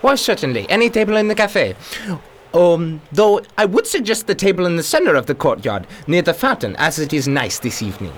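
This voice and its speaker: Polite, higher-voiced waiter. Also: male voice, big pitch swings, fairly quick, slight background noise. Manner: polite and obliging. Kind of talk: radio drama acting.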